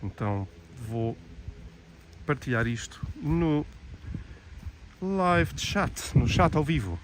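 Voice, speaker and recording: A man from Portugal with a Portuguese accent, chatting casually and a little haltingly as he hosts a live walking tour. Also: fairly high pitch, sing-song. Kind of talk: casual live vlog chat. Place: outdoors, walking through a village. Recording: clear outdoor ambience and traffic noise.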